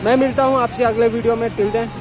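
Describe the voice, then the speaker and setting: A man's voice: very high-pitched, little pitch movement, fast talker, over a noisy crowd. A man in his thirties vlogging while cycling on city roads, talking casually and cheerfully, with English phrases mixed in. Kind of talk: casual vlog narration while riding. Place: outdoors on a road, riding a bicycle. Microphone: helmet or handlebar action camera, later selfie at arm's length. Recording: loud music bed under outdoor traffic noise.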